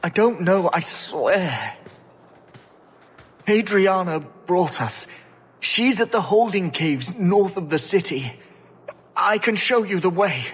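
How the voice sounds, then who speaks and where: Game role: craven slaver begging, very breathy, slow and halting, faint music behind. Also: male voice, sits high, animated, full character voice. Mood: terrified, begging. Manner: halting, pleading. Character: cowardly, terrified male slaver begging for life. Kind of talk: video game voice acting.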